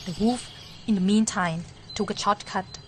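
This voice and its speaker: A woman's voice: medium pitch, moderate pace, a little hiss or hum. A woman narrating a scripted children's story as moderator.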